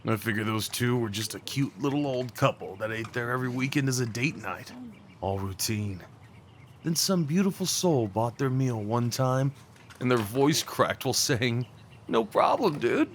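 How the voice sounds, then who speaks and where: A man acting a young small-town guy, an awkward and tender old friend, in a casual, halting, intimate audio roleplay. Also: mid-range voice, expressive, a little hiss or hum, lightly acted. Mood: fond, nostalgic. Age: young adult. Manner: halting, stammering, slangy, chuckling.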